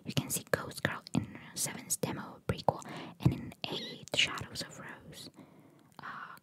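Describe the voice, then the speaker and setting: A woman hosting ASMR, reading trivia in a breathy whisper with chatty, informal, opinionated asides. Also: unhurried. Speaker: ASMR host.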